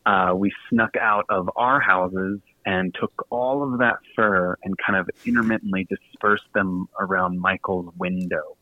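An American man from Virginia, a guest author telling stories casually in an interview, chatty, halting and self-deprecating. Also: deep voice. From Virginia, United States. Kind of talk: casual storytelling in a radio interview. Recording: tinny phone-like line with some room echo.

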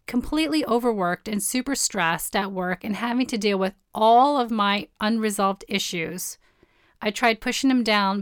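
A woman in her forties with a hoarse, partly lost voice, hosting a personal storytelling podcast in a candid, blunt way. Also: medium pitch, no echo, clean studio sound. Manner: candid, blunt, swears casually. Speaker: podcast host.

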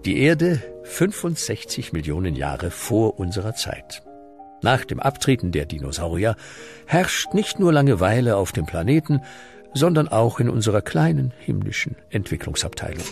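A man's voice: fairly low pitch, sing-song, unhurried, vocal fry, exaggerated voice. A man playing a wry, grandly solemn storyteller narrating a comic radio series. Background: music.

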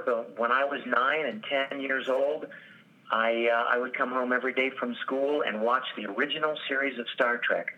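A man with an ordinary middle pitch answers an interview in a relaxed, story-like way. His voice comes through dull and lo-fi. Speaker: actor guest.

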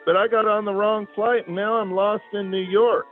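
Plucky, earnest lost kid played by a man, high pitch, phone-quality sound, faint music. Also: flat delivery, normal speed, natural voice. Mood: earnest, worried. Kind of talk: scripted prank phone call. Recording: tinny phone line, faint music behind.

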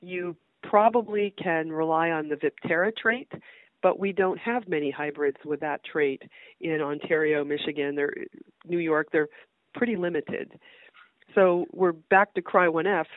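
A woman's voice: sits low, no room sound. Woman with a cold on a phone line. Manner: brisk and practical, with many "uh" and "kind of". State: has a cold. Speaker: guest, university entomologist. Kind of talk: casual interview, explaining field research. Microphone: phone call. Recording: dry, tinny phone line.

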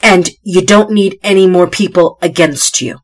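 Tired stuffy woman doing a motivational podcast, kinda low voice, hammers her points over and over. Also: moderate pace. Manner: emphatic, repeats key phrases for emphasis. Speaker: podcast host. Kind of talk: motivational solo podcast talk.

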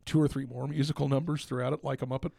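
Creaky-voiced man chatting on a podcast. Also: medium pitch, flat delivery, racing, dark tone, no room sound, clean studio sound. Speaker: podcast host and radio play writer. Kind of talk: casual podcast chat.

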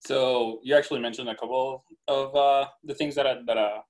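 A young man from Colombia, a native Spanish speaker, talking casually and haltingly as a student guest on a panel. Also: medium pitch, fairly even intonation, a little mellow, low-quality recording. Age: young adult. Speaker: veterinary student, podcast guest.

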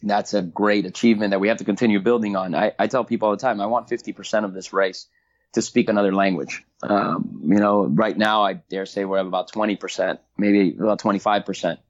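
A middle-aged man answering interview questions about the race he organizes, halting and repeating words as he thinks aloud. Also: lowish voice, fairly even intonation. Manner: halting, many repeats and fillers. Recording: dull lo-fi audio.

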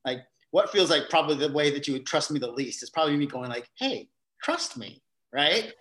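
A man speaking as a guest in a casual interview, thinking aloud with frequent restarts and fillers, with animated bursts now and then. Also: fairly high pitch, fairly quick, a little hiss or hum. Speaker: guest, theater company facilitator.

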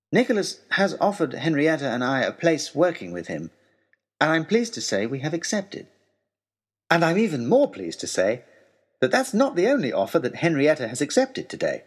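A man playing an earnest, eager young gentleman adventurer in an audio story, narrating a letter in a formal, wordy, wry way with deliberate pauses. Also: mid-range voice, slightly rough. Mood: earnest, happy.

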